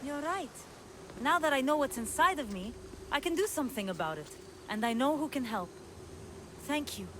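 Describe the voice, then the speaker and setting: A woman voicing an anguished, earnest young woman with a dark hidden side, in a video game. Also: high-pitched, expressive, moderate pace, long pauses, background noise. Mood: subdued, resolved. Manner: earnest, emotional. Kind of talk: video game cutscene acting.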